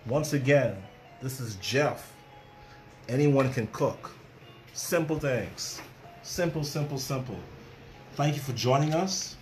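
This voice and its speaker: A man's voice: medium pitch, slow, long pauses, soft background music. A middle-aged man hosting a casual cooking demonstration, chatty and repeating phrases for emphasis. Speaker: chef and cooking show host.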